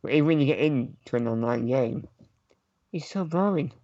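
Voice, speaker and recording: A man on a gaming podcast panel, talking casually in short, halting pieces. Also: mid-range voice, expressive, mellow, no echo, lo-fi sound.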